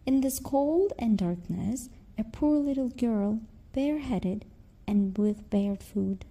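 Slow, mellow woman storyteller. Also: on the high side, breathy edge. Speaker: children's storyteller. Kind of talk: scripted fairy-tale narration for children.

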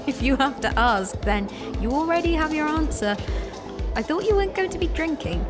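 A woman's voice: high voice, lively intonation, normal speed. An actress playing a playful, teasing young woman in a radio drama. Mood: amused. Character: teasing, warm-hearted, self-confessed clumsy young woman. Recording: music and crowd chatter behind.

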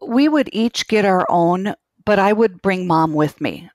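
A woman's voice: mid-range voice, animated, a little slow, darkish tone, dry recording. A woman in her sixties with a Minnesota accent calling in to an advice show, plainspoken and a little anxious. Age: 60s. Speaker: caller. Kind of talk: phone-in conversation.

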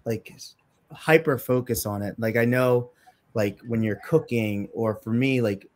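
A man's voice: medium pitch, unhurried. A young man from Trinidad and Tobago, a podcast guest chatting in a friendly, upbeat way with many "like" fillers over a video call. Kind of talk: casual interview chat. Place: home room with plain walls.

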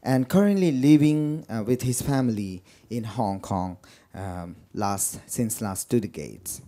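A man's voice: fairly low pitch, expressive, a little slow, mellow. A man with a Nepali accent and Nepali as his first language, moderating a book talk and introducing the speaker with many fillers and restarts. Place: lecture room.

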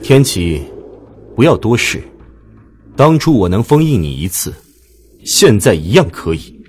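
A man's voice: lowish voice, very animated, a little slow, darkish tone, husky, heavily acted. A man playing a cold, aloof, commanding god in an audio drama, with a stern, haughty delivery. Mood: cold, dismissive.

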